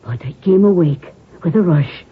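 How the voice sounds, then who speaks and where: A distraught Irish peasant maidservant, husky and rough-edged, deferential and superstitious. The recording is a thin, tinny old radio drama. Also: female voice, on the low side, animated, normal speed. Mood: shaken. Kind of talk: 1970s radio drama acting.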